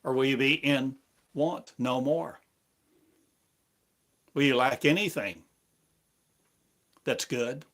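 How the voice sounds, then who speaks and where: A man preaching a prophetic sermon, speaking slowly and solemnly in the voice of God, with long pauses between phrases. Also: mid-range voice, fairly bright tone.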